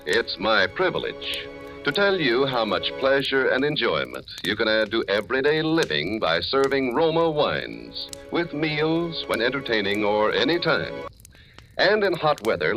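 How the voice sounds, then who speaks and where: A man reading a 1940s radio advertisement as a genial, emphatic pitchman on an old recording. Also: very animated, a little slow, a bit bright, soft background music, rough recording. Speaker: commercial pitchman.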